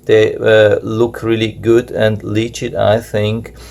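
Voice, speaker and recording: Low gravelly man, German native speaker. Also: slow. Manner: hesitant, many uh fillers, relaxed and explanatory. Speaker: product reviewer. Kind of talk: casual unboxing review. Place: indoors at a table.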